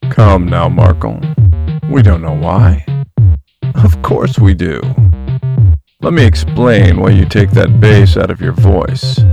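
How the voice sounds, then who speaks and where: A man playing a ruthless male crime boss who talks in a menacing, measured, condescending way. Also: low voice, gravelly, dull audio, full character voice. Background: synth music.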